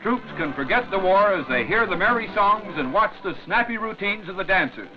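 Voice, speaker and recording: Male newsreel announcer, 1940s, high-set showman delivery, scripted, muffled old film audio over music. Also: expressive, brisk. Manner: jaunty, declamatory showman delivery. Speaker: newsreel narrator. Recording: dull vintage 1940s newsreel soundtrack.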